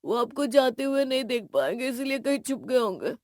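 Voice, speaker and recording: Woman as bride's little brother, fairly high voice, cheeky, clingy, whiny, audio drama. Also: big pitch swings, a little fast, darkish tone, dry recording, clean studio sound. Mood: tearful.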